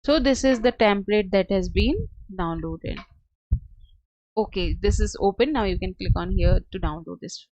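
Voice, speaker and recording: A woman working as a software trainer, giving a step-by-step screen tutorial in a hesitant, halting way. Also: mid-range voice, dry recording. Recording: dull lo-fi close dry audio.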